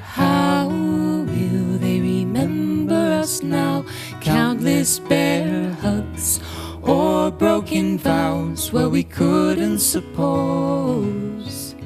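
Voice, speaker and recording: A woman singer-songwriter, singing folk softly or chatting casually from the stage over a plucked acoustic guitar. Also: high-pitched, lively intonation, very slow.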